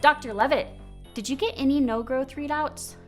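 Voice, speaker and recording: A woman playing a probing, skeptical scientist in a radio drama, speaking briskly and quick to challenge. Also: sits high, soft background music, natural voice. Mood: insistent, reasoning.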